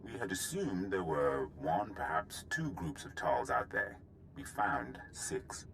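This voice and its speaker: An actor playing a grave, cautious military officer in a video game, speaking in a formal, measured way. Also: male voice, low-pitched, very animated, fairly bright tone, a little hiss or hum, exaggerated voice. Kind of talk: scripted game dialogue.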